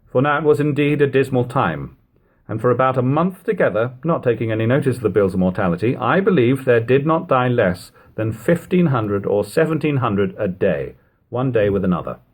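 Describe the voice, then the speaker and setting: A man reading an old literary journal aloud as an audiobook narrator, in measured, expressive long phrases. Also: mid-range voice, slightly rough. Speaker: audiobook reader.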